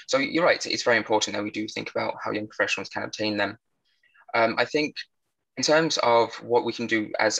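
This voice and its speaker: A man's voice: on the low side, gravelly, bright, forceful tone, poor audio. A young man with a British accent, answering as a panelist in a conversational way. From United Kingdom. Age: young adult. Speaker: panelist, threat intelligence professional.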